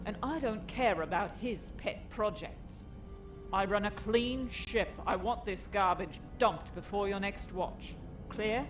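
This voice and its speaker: A woman's voice: medium pitch, measured pace, lightly acted. A brusque, scornful female starship captain, acted by a woman, in a sci-fi audio comic. Her voice sits in a middle register, curt and commanding, with music playing behind. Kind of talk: audio comic drama acting.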